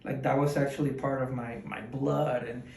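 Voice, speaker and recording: A man's voice: medium pitch, little pitch movement, moderate pace, creaky, a little mellow. A man in his twenties from Ecuador, answering interview questions in a rambling, casual way with many fillers and restarts. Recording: echoey room, mic at a distance.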